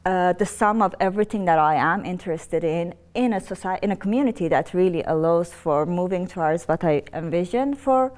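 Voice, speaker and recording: Woman, slightly low voice, interview guest. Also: a little fast, few pauses, a little mellow. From Iran. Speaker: interview guest, nonprofit executive director. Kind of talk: conversational interview answers, personal storytelling.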